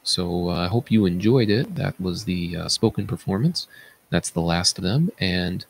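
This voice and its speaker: A man's voice: sits low, expressive, moderate pace, creaky, husky. A man speaking as a teacher who narrates and comments informally during a play reading.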